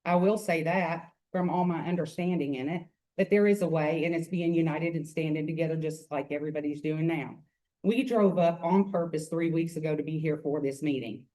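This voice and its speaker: A woman's voice: sits low, steady tone, brisk, mellow, rough recording. A woman with a Memphis, Tennessee accent giving earnest, rambling public comment at a hearing, speaking into a microphone. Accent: Southern US, Memphis Tennessee. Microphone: podium microphone.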